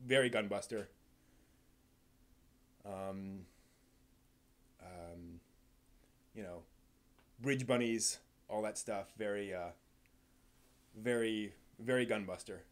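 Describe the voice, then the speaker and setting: A man hosting a live stream, giving casual unscripted commentary in a halting, rambling way that repeats words and trails off. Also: on the low side. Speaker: live stream host and anime reviewer.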